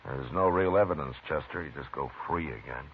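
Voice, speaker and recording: An actor playing a calm, firm frontier lawman in a 1950s radio drama, measured and deliberate. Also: extremely low pitch. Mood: steady. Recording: tinny old radio broadcast with hiss.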